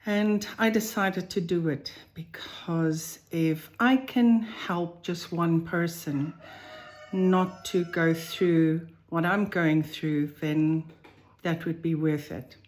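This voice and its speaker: A woman with a South African accent, telling her own health story slowly and thoughtfully, with many pauses. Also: on the low side, steady tone, darkish tone. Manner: slow, thoughtful, pausing between phrases. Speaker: vlogger sharing a personal health story. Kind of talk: personal first-person account to camera. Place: home on a farm, roosters crowing outside.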